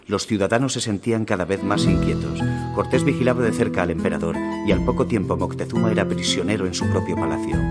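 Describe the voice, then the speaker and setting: Deep, solemn Castilian warrior-narrator played by an actor. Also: male voice, natural voice. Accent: Castilian Spanish. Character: grave Aztec jaguar warrior recounting his empire's fall. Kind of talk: scripted dramatic narration, storytelling.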